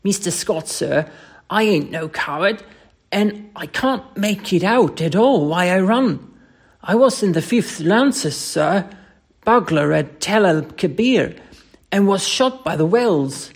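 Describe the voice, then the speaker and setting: A reader voicing a young Cockney ex-soldier servant in an audiobook story, rough-spoken, emphatic and deferential. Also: medium pitch, lively intonation, slow, pitched above the performer's own voice, exaggerated voice. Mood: embarrassed, earnest.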